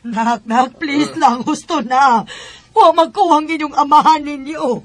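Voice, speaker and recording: A woman's voice: high voice, measured pace, dry recording. An actress playing an anxious, pleading middle-aged mother in a Cebuano radio drama. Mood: distressed, pleading. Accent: Cebuano Bisaya. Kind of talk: Bisaya radio drama acting.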